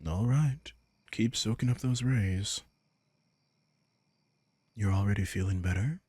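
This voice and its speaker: A commanding yet caring vampire king, acted by a man in an intimate roleplay audio. His voice is very husky and fairly low, and he takes his time with each line. He comes across as casual, teasing and protective. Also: slow, a little mellow, dry recording. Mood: concerned, gentle.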